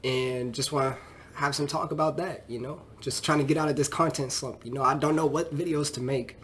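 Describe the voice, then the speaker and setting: A man's voice: mid-range voice, fairly even intonation, a little fast, a bit bright, slight background noise. A man in his twenties, a fitness trainer, talking casually and rambling in a vlog with a stuffy nose from a cold, full of loose fillers. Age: 20s. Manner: loose and chatty, with many fillers like "you know".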